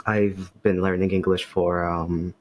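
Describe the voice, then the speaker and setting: A man's voice: deep voice, level tone, measured pace, darkish tone. A man in his twenties from Poland, a native Polish speaker, chatting as a podcast guest over a video call with a hesitant, umming way of talking. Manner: hesitant, with many ums and restarts. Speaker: podcast guest, writer and game master. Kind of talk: casual podcast chat.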